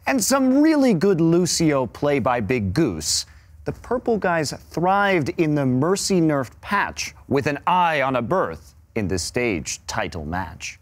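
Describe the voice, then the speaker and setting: A man's voice: on the high side, big pitch swings, slow. A man hosting and analyzing on a casual esports panel, with playful storytelling delivery.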